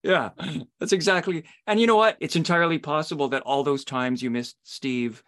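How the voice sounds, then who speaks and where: A man's voice: on the high side, normal speed, a bit bright. A man hosting a podcast in a casual, conversational way. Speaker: podcast host.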